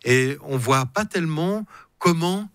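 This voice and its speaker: A man's voice: on the high side, animated, a bit bright, no echo, clean studio sound. Hesitant man. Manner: measured, hesitant, many fillers and restarts. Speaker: council president interviewed as guest. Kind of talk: radio interview.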